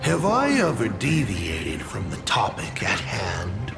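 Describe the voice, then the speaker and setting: A man's voice: on the low side, wildly swooping pitch, takes it slowly, creaky, music in the background, full character voice. An actor playing a taunting, theatrical villain in a video game, drawling mockingly as he poses riddles. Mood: mocking, probing.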